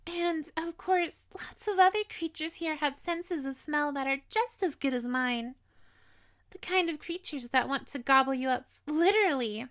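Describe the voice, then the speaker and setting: High-voiced, possessive lamia girl, played by a woman. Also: moderate pace, a bit bright. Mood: coaxing, teasing. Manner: coaxing, teasing, addressing listener with pet names. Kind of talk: intimate roleplay audio drama monologue.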